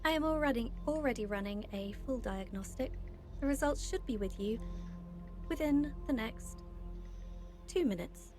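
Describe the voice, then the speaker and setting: Woman as a higher-voiced, efficient AI assistant. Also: lively intonation, moderate pace, pauses often, darkish tone, soft background music, close to an everyday voice. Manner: helpful, concise, businesslike. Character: brisk, efficient female AI assistant, proactive.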